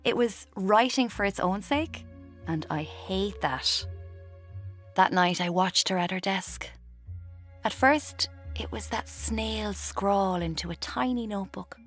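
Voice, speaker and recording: A woman's voice: mid-range voice, slow, breathy edge. Restless young Irish woman, twenties, blunt, moody, confessional radio drama, prominent music. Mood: brooding, discontented. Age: 20s. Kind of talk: radio drama, confessional first-person narration and dialogue.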